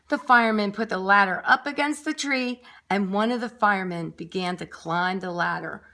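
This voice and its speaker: A woman with a high, bright voice reads a children's storybook aloud. Her delivery is emphatic and expressive, pitched for very young listeners, and she slips in little chatty asides. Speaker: storybook reader for nursery school children.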